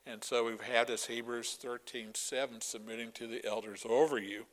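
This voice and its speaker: A man's voice: medium pitch, steady tone, normal speed, fairly bright tone, low-quality recording. An older man preaching a Bible lesson in a folksy way, with many fillers and asides. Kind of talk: Bible teaching sermon.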